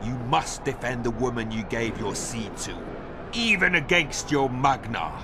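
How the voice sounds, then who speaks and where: An actor playing a cruel, mocking warlord who rules a fighting pit in a video game, commanding and taunting. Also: male voice, medium pitch, lively intonation, a little slow, background noise. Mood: scornful. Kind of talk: dramatic video game acting.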